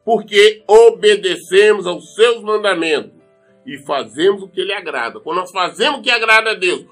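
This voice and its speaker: A Brazilian man delivers a sermon in a distinctly high-pitched voice. He speaks earnestly and admonishes his listeners, repeating phrases for emphasis. Soft music plays faintly behind him. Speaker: preacher. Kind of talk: sermon with scripture reading.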